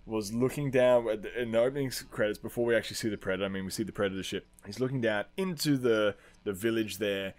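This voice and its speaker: A man's voice: lowish voice, quick pace, few pauses. A man hosting a film-fan podcast, chatting casually with plenty of swearing in a rambling, enthusiastic, slangy way. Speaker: podcast host.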